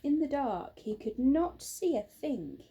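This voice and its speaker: A woman reads a children's story at a slow, measured pace. Her voice is fairly high and mellow, with expressive phrasing. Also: husky. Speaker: storybook reader. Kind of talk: scripted children's story narration.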